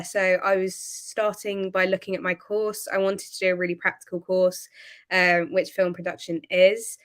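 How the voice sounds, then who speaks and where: A woman's voice: lowish voice, fairly bright tone, clear, resonant tone. Young female student host, clean resonant voice, casual panel chat. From Kent, England. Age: young adult. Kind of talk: casual hosted panel talk.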